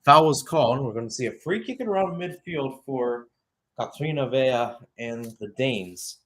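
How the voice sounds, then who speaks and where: A man's voice: mid-range voice, animated, measured pace, a bit bright. A young man giving live radio-style soccer play-by-play, drifting into conversational, rambling asides and correcting himself as he goes. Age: young adult. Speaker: play-by-play commentator.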